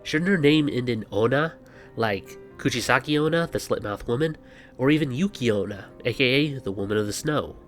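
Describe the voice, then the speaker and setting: A man's voice: medium pitch, lively intonation, fairly quick. A man hosting a scripted explainer with banter, speaking in a wry, sarcastic way with exasperated asides. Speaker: video host. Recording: music behind the voice. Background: background music.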